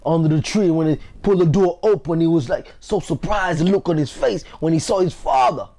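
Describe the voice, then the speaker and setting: A man's voice: on the high side, animated, a little fast, dark tone. A man giving a motivational speech, emphatic and exhortative, driving points home by repeating phrases. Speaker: motivational speaker. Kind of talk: motivational speech with acted storytelling.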